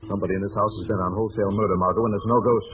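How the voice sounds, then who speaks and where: Man playing fast-talking, commanding radio detective. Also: on the low side, fairly even intonation, lightly acted. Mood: urgent, resolute. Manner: crisp, urgent, authoritative. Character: commanding, quick-thinking male amateur detective, protective and resolute. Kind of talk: vintage radio mystery acting. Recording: tinny, hissy old radio recording.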